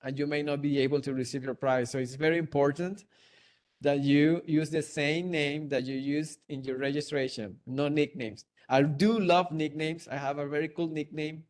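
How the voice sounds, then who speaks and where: A man with a Venezuelan Spanish accent and a fairly high voice runs a trivia webinar. He is playful, jokes a lot and laughs easily. He speaks into a computer mic on a video call. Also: steady tone, normal speed, darkish tone. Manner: playful, laughs often, hums and sings along. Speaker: webinar host. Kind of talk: hosting a live trivia webinar.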